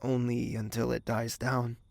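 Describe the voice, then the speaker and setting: An actor playing a guarded, devoted young prince in an audio drama, husky and hushed, speaking with brooding earnestness. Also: male voice, mid-range voice, flat delivery, unhurried, a little hiss or hum. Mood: tender. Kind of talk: intimate audio drama acting with inner monologue.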